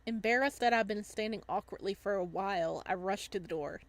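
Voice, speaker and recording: A woman playing a visual novel, reading the narration aloud and adding casual, playful, expressive commentary as she goes. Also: mid-range voice, a little fast, no echo. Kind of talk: casual game commentary mixed with dramatic narration.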